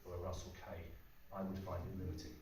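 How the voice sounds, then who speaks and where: A man with a low voice gives an informal technical talk, working through his points methodically. The room is very echoey and he is picked up from a distance, with faint noise behind him. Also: level tone, fast talker, darkish tone. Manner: methodical, explanatory. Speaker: speaker designer giving a talk. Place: hotel conference suite.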